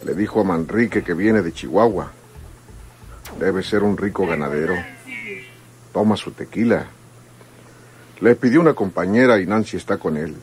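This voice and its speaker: A man playing a smug, fawning henchman with a husky voice, reporting deferentially in a radio drama. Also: low voice, darkish tone, slight background noise.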